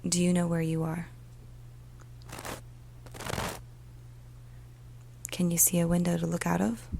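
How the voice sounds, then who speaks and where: A woman voicing a weary, troubled police emergency dispatcher in a soft, often whispered ASMR storytelling monologue, speaking slowly and deliberately. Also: lowish voice, completely flat delivery, vocal fry, noisy background. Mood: calm, reassuring. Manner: slow, hushed, deliberate, with pauses. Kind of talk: ASMR storytelling, first-person dramatic monologue.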